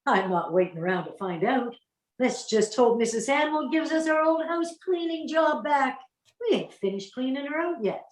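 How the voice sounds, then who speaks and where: A woman's voice: sits high, animated, normal speed. A woman playing a brazen, slangy female crook in a comic radio play, recorded with a roomy echo. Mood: alarmed, wry. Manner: wisecracking, slangy. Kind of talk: comic old-time radio play acting.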